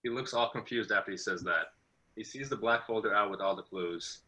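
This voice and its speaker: A man with a bright, forward tone and a fairly low pitch reads stage directions. It is scripted narration from a video-call table read. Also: a little fast, poor audio.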